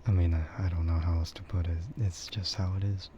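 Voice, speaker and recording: Male streamer, deep and unhurried, for soft, meandering ASMR chat; dull lo-fi sound. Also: little pitch movement. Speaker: VTuber streamer. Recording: dull lo-fi microphone with faint noise.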